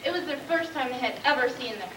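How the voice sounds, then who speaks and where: A woman's voice: high-pitched, fast, lightly acted. A woman playing a demanding, short-tempered storybook queen in a stage comedy, haughty and curt. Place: school stage, live play performance. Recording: distant, noisy, echoey room recording of play.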